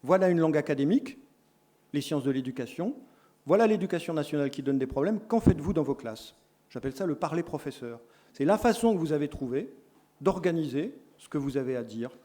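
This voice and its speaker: Mellow-voiced man giving a lecture. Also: on the high side, a little fast. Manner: explanatory, lively emphasis, self-correcting asides. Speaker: lecturer in education sciences. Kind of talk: lecture to teacher tutors.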